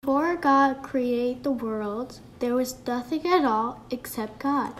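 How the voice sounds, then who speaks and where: A woman's voice: sits high, slow pace, music in the background, low-quality recording. A woman reading a children's creation story aloud, playfully voicing the sound effects.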